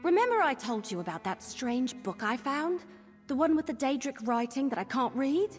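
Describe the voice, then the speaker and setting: A woman's voice: high voice, very animated, moderate pace. A woman playing an earnest, troubled young woman in a fantasy video game, confiding hesitantly. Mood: troubled, haunted. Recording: long hall reverb, game music behind.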